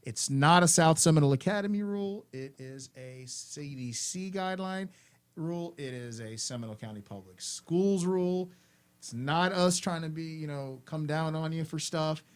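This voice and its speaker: A man's voice: fairly high pitch, moderate pace, clean tone. A man hosting a school's afternoon announcements, friendly and instructive, repeating his points to students.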